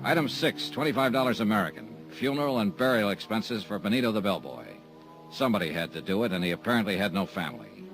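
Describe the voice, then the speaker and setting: Hard-boiled insurance sleuth, low voice with animated inflection, deadpan wit, vintage radio. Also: male voice, fast talker, music in the background, rough recording. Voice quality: dry, sardonic, tough-guy delivery. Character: wisecracking male insurance investigator, tough and dry. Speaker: lead actor and narrator. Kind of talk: 1950s radio drama acting and narration.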